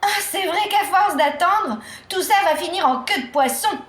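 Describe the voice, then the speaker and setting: A woman's voice: very high voice, animated, normal speed, a bit bright, roomy sound, voice pushed up. A woman voicing a tall, wry fishwife who is tired of waiting, in a children's storybook tale. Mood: wry, amused.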